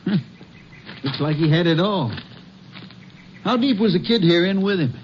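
A high-pitched excitable sheriff, very lively and full of urgent questions. It comes from an old radio western with muffled sound. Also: male voice, normal speed. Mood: inquisitive. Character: excitable, inquisitive male county sheriff. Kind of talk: 1950s radio western acting. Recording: muffled, faintly noisy old radio recording.

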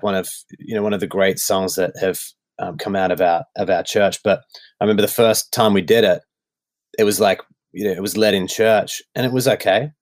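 Dry-sounding Australian man, relaxed storyteller. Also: on the low side, dry recording. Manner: loose storytelling with many fillers. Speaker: worship songwriter guest. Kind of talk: casual podcast chat.

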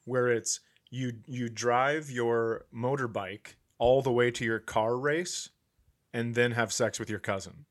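A man's voice: medium pitch, slow pace. Male podcast host with a teasing manner. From Minnesota, US. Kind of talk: casual comedic banter.

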